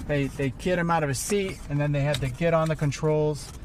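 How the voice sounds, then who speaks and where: Modest man, slightly high voice, faint outdoor noise. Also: fairly quick, darkish tone. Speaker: air traffic controller being interviewed. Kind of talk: informal interview recollection.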